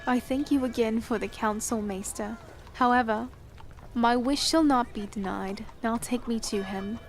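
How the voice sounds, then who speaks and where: A woman's voice: a little high, measured pace. An actress playing a dignified, resolute young queen who speaks formally and earnestly. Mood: firm. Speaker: newly crowned queen. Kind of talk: fantasy audio drama acting. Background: music.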